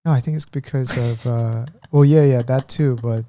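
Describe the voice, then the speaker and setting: A man's voice: mid-range voice, steady tone, normal speed, mellow, a little hiss or hum. A man co-hosting a podcast in casual chat, self-deprecating and chatty, laughing at himself as he tells stories. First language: Korean is his second language. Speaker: podcast co-host.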